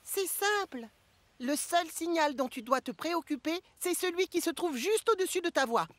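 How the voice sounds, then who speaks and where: A woman's voice: very high-pitched, animated, moderate pace, no room sound. A woman voicing a cheerful, friendly, reassuring talking vehicle in a cartoon, with a chirpy, playful manner. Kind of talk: French cartoon dub acting.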